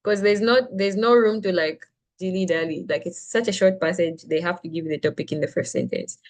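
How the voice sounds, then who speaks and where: Young woman from Zambia, casual tutor, talks loosely with fillers, slightly low pitch. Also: brisk, a little mellow. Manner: chatty, frequent "like" and "right?", restarts sentences. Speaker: SAT reading tutor. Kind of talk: casual classroom teaching.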